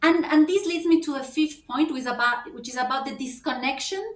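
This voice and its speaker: A woman's voice: sits high, brisk. A woman from Ecuador, speaking as a panelist and laying out her points in order. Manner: earnest, organized, lists points in order. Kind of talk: panel discussion remarks. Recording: roomy echo, mic at a distance.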